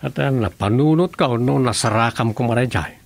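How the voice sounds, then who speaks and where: A man's voice: mid-range voice, fairly quick, creaky, lightly acted. A man acting as a practical, scheming townsman in an Ilocano radio comedy drama, with an Ilocano accent. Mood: eager, persuasive.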